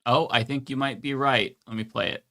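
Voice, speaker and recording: A man's voice: medium pitch, fairly even intonation, brisk, a bit bright. A man co-hosting a casual livestream, chatty, blunt and joking. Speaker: co-host.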